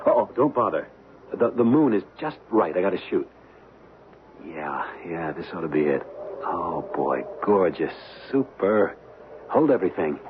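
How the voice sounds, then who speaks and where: An actor playing a wary, easygoing young American husband in a radio drama, speaking in slangy, hesitant phrases. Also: male voice, low voice, very animated, measured pace. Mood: absorbed, upbeat. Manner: slangy, hesitant, repeating words. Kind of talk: old-time radio drama acting. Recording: tinny, band-limited vintage radio, hissy.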